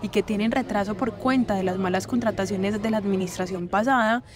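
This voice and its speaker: A woman's voice: medium pitch, a little fast, a crowd in the background. A woman reading a scripted news report as a voice-over.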